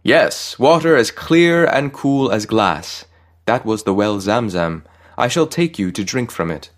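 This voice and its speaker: A man's voice: fairly low pitch, sing-song, unhurried. A man reads the part of a serene archangel from a short story. He proclaims with joyful grandeur and reassures without hurry, solemn and sure of himself. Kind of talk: audio short story character reading.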